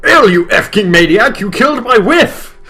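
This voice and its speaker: A man voicing a loud, melodramatic policeman father who yells in outrage. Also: high-pitched, animated, a little slow, a little hiss or hum, pitched above the performer's own voice, heavily acted. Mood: outraged. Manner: yelling, melodramatic.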